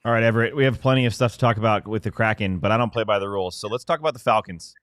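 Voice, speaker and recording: A man's voice: fairly low pitch, racing, fairly bright tone, dry recording, clean studio sound. A man hosting a casual sports interview in a playful way. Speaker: podcast host.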